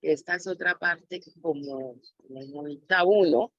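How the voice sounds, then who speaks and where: A woman's voice: low-pitched, a little slow, a bit bright, dry recording, lo-fi sound. A woman speaking reflectively as an interviewee, sharing personal experience as a mother. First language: Spanish.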